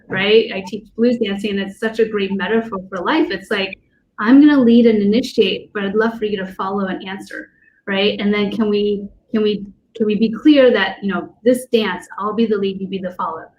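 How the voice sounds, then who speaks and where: Woman coach rambling w lots of likes and ums, mid pitch, faint noise in the background, video call. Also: low-quality recording. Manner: rambling, many fillers and restarts. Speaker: coach and blues dance teacher. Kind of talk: casual panel discussion.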